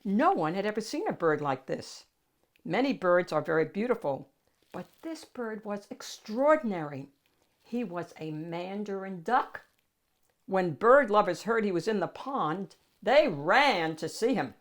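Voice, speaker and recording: Animated woman reading a picture book. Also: mid-range voice. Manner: dramatic pauses, drawn-out key words, addresses young listeners. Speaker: read-aloud host. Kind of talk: expressive picture book read-aloud.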